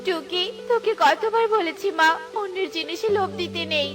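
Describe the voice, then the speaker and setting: A very high-pitched, gentle mother bird from a fairy-tale cartoon , acted by a woman. She is honest and patient, with a soft, pleading, moralising manner. Music plays clearly behind her. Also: moderate pace. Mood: firm, kindly.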